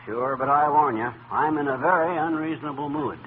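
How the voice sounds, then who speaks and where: A man acts out a grumbling middle-aged husband from a 1940s radio comedy. He is loud, indignant and slangy, with a highish voice. The old recording is thin and full of noise. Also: a little fast, narrow, tinny audio, close to an everyday voice.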